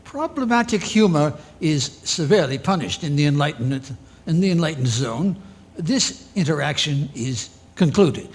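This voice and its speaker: An actor playing a pompous, officious revolutionary port inspector in a stiff, formal manner in radio theatre. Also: male voice, on the high side, lively intonation, moderate pace, slight background noise. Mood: curt, stern.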